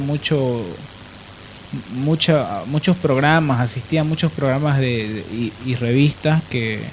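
A man's voice: a little high, darkish tone, slight background noise, poor audio. A man with a Bolivian accent explaining things informally as a panelist on a radio talk show.

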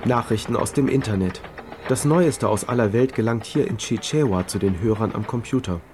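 A man reading a scripted radio feature in a calm, informative way. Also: mid-range voice, normal speed, over music. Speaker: radio narrator.

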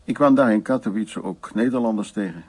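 An actor playing an older, frank and dominant man who reminisces intimately in short phrases, in a radio play with a husky, gravelly voice. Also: fairly low pitch, brisk, slight background noise, lightly acted. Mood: matter-of-fact.

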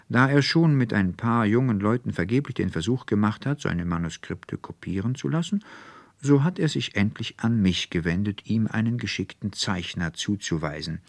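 A man voicing a courteous, verbose registrar in a storybook, formal, deliberate and emphatic. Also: fairly low pitch, no room sound. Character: earnest, verbose male registrar, courteous and bureaucratic.

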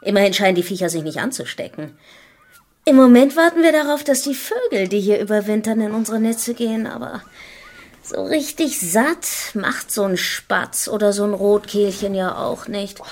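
An actress playing a hard-bitten island survivor in a radio drama, talking in a blunt, offhand, sardonic way. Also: female voice, medium pitch, lively intonation, moderate pace, darkish tone, close to an everyday voice. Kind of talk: German radio drama acting.

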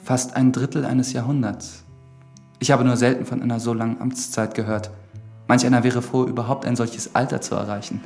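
A man's voice: medium pitch, flat delivery, fairly quick, darkish tone, faint music behind, natural voice. An actor playing an earnest, dutiful priest in a German radio drama, formal, pious and probing in his questions. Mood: polite, probing.

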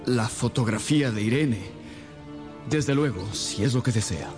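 Un actor que interpreta a un rey cortesano, grandilocuente e impulsivo en una radionovela, con música de fondo. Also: male voice, mid-range voice, a little slow, music in the background, close to an everyday voice. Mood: grateful, expansive. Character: grand, courtly male king, grateful and impulsive. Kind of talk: radio drama acting.

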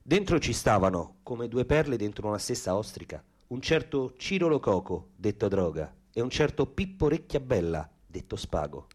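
An actor playing a wry, earthy Roman storyteller in a theatrical radio piece, with a Roman accent. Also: male voice, lowish voice, sing-song, normal speed, few pauses. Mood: wry narrating.

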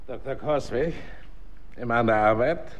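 A man's voice: medium pitch, moderate pace, lo-fi sound, natural voice. A man acting in a German radio drama as a pragmatic company boss, formally polite, insistent and persuasive. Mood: probing, courteous. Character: pragmatic, persuasive male boss of a chemical weapons works.

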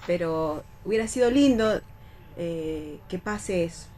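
Lowish-voiced Argentine woman chatting on radio. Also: animated, takes it slowly, over a noisy crowd. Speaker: radio panelist. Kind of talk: casual radio conversation.

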